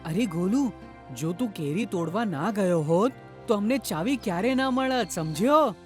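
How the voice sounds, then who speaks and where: A woman's voice: medium pitch, animated, moderate pace, darkish tone, faint music behind, natural voice. Woman playing a clever, eager cartoon child. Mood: teasing. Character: clever, observant child among friends.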